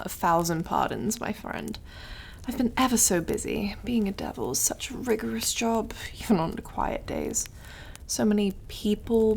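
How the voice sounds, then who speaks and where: Woman acting a slow, silky, mocking devil. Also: mid-range voice, vocal fry, slight background noise. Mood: busy, haughty. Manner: theatrical, drawling, over-polite, taunting. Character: suave, mocking, condescending devil who deals in soul contracts. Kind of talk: ASMR roleplay monologue.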